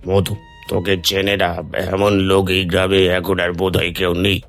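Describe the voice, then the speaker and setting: A man voicing a stern, authoritative village headman in an animated story, grave and measured. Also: low voice, music in the background. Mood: grave, probing.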